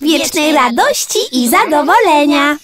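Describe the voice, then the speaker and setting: A woman's voice: high voice, lively intonation, a little slow, background noise. A woman voicing a sweet little animal child reciting carefully and affectionately in a children's cartoon. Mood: earnest, loving.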